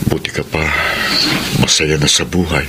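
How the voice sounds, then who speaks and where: A man's voice: a bass voice, fairly even intonation, normal speed. An actor with a Filipino accent playing a humbled, forgiving, ailing man in a sentimental radio drama. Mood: wistful. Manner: solemn, sentimental. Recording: loud ambient noise behind the voice.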